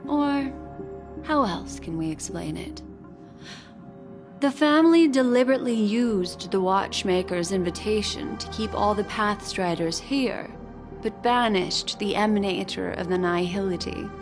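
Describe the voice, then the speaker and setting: A woman's voice: medium pitch, measured pace, over music, close to an everyday voice. A woman playing a composed, knowing strategist in a video game, explaining things in a poised way. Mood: composed, serious. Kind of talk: English video game voice acting, expository.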